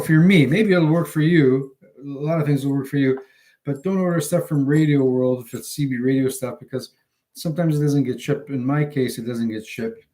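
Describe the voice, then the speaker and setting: A man in his fifties from Canada, giving a casual, unscripted product demonstration in a halting, rambling way. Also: medium pitch, a little mellow, poor audio. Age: 50s. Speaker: hobbyist video host. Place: indoors at home. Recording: home recording with faint background noise.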